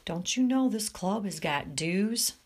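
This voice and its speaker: A woman's voice: medium pitch, animated, takes it slowly. A woman voicing a muscular, swaggering teenage male bully with a taunting, jeering manner in a storybook reading. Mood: demanding.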